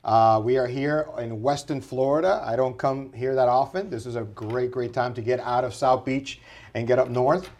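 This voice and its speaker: A middle-aged man from Puerto Rico, a guest on a podcast, telling his life story in an earnest, animated way. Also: mid-range voice, moderate pace. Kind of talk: casual interview storytelling.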